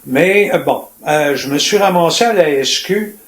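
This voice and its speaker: An older man in his seventies from Quebec, with a Quebec French accent, telling his life story in a reflective, colloquial, measured way as a retired police officer. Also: fairly high pitch. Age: 70s. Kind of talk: personal storytelling in an interview. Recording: echoey room with faint noise.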